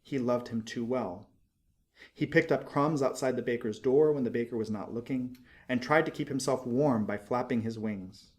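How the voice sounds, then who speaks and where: Measured male storybook narrator. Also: medium pitch, darkish tone. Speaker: audiobook narrator. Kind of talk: expressive literary storybook narration.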